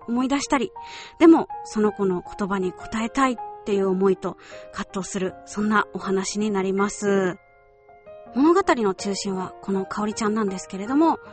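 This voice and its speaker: A woman with a medium-pitched voice hosts a solo radio programme. She explains things courteously and talks directly to her listeners. Faint music plays behind her. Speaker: radio show host, voice actress. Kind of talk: polite solo radio show presentation.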